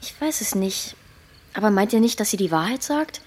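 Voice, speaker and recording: A woman's voice: medium pitch, lively intonation, moderate pace, husky. A woman playing a hesitant, honest young friend in a German radio drama, earnest and troubled. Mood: troubled doubt.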